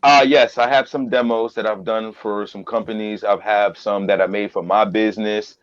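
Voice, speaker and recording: A man's voice: on the low side, steady tone, moderate pace, highs cut off. A man guesting on a podcast as a voiceover artist, talking earnestly and repeating phrases for emphasis. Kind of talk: casual interview talk.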